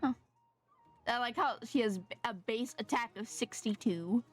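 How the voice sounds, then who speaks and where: A woman streams a game with music in the background. Her voice is on the high side, and she comments casually, muttering reactions and thinking aloud. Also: unhurried, slightly rough. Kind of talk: casual live gameplay commentary.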